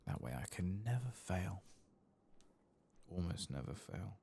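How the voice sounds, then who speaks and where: A man giving soft-spoken ASMR commentary while gaming, murmuring and drifting into whispers. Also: sits low, very animated, a little slow, gravelly, a little hiss or hum. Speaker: gaming streamer, ASMR host.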